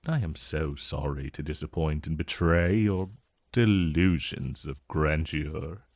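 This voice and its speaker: A man playing an ancient dragon in a romantic fantasy ASMR roleplay, possessive and menacing yet tender, with breathy, drawn-out, condescending endearments. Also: very deep voice, a little mellow, no room sound, studio quality, heavily acted. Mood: smug, menacing.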